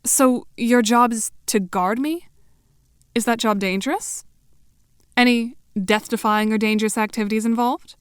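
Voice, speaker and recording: Prim teenage seer for audio drama, woman actor: highish, dry studio sound, formal and wry. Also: fairly even intonation, a little slow, clear, resonant tone, dry recording, natural voice. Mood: wry, polite.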